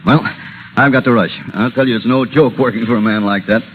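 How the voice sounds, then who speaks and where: A man's voice: mid-range voice, steady tone, a little fast, lightly acted. A man plays a harried lawyer in an old radio drama, probing and hesitant with a formal politeness. His mid-range voice comes through a narrow, tinny recording with faint noise. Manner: hesitant, throat-clearing, formal. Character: harried, nosy middle-aged lawyer, uneasily genial. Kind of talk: 1930s radio acting.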